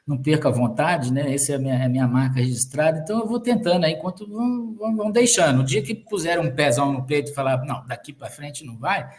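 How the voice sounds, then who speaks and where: A man's voice: a little high, fast talker, few pauses, a little mellow. Joking Brazilian male guest, full of sayings. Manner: joking, fond of metaphors and sayings. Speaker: guest, sales manager. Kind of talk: conversational interview.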